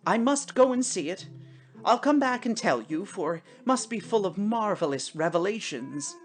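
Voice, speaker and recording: A man's voice: sits high, lively intonation, normal speed, soft background music, pitched above the performer's own voice. A woman voicing a prim, irritable man who has newly died, protesting in a stammering, indignant way as a storybook character. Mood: exasperated, earnest.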